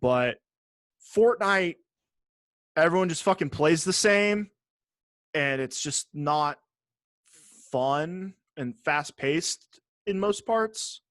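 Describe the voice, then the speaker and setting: A man's voice: on the high side, animated, takes it slowly, pauses often, fairly bright tone, no echo. A man co-hosting a podcast in casual chat, talking bluntly and swearing freely. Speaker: podcast co-host.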